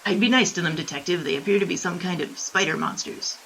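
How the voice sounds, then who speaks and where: A sly bandit king acted by a man, high in pitch and gravelly with fry. He is arch, flippant and cool-headed, a bright-edged rogue from a radio play. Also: slight background noise, heavily acted, low-quality recording. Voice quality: high, creaky, husky. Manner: arch, unhurried, dry.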